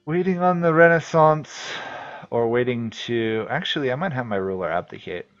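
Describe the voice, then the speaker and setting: A man from New England with a New England accent, casually commenting on his strategy game as he plays and thinking out loud with many fillers. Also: medium pitch, lively intonation, moderate pace, muffled recording, faint music behind. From New England, USA. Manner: thinks aloud, rambling with fillers. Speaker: game streamer. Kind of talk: casual commentary while playing.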